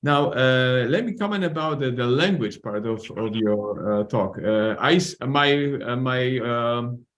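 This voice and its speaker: A man whose native language is Polish, a surgeon commenting at a webinar, polite and hesitant, with many pauses and restarts. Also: medium pitch, animated, fairly bright tone. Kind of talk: webinar discussion.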